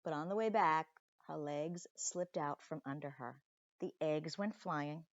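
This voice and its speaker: A woman reading a children's story aloud expressively, with deliberate dramatic pauses and chatty asides to young listeners, like a teacher. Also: deep voice, no echo, highs cut off.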